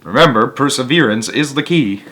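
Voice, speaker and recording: A man voicing an encouraging storybook turtle who gives deliberate, sage advice. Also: medium pitch, lively intonation, bright, forceful tone, rough recording.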